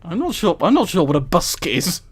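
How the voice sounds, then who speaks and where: A man streams a casual read-aloud through a headset mic. His voice sits a little high for a man. He rambles into amused asides as he goes, chatting informally to his viewers. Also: very animated, a little fast, husky. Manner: amused, giggly, rambling commentary. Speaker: streamer reading a book aloud to live chat.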